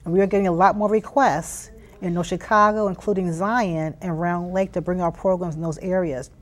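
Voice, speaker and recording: A woman's voice: lowish voice, fairly even intonation, a little fast. Earnest American woman explaining in an interview. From Philadelphia, United States. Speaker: interview guest, youth STEM program leader. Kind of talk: conversational interview answers.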